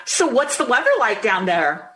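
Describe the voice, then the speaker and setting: An actress playing a warm, supportive 1950s wife and mother in an old-time radio drama, affectionate and encouraging. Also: on the high side, big pitch swings, normal speed. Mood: cheerful, supportive. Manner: bright-spirited, encouraging, affectionate.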